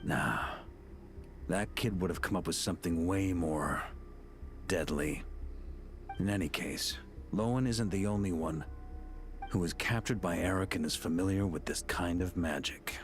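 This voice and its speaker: A man's voice: low voice, unhurried, long pauses, soft background music. An actor playing a cool, unflappable man in a video game, with a dry, offhand, analytical delivery. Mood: dry, assured. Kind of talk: English video game voice acting.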